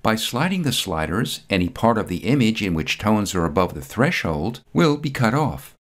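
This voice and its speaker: A man reading a scripted step-by-step software tutorial voice-over, calm and methodical. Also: lowish voice, normal speed.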